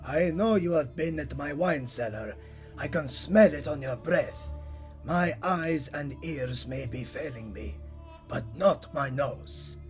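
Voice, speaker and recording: A man's voice: on the high side, lively intonation, slow. A man playing a frail, dying old Italian master painter in an audio drama, weary, wry and theatrical. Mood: irritable. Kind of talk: scripted audio drama dialogue. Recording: music behind the voice.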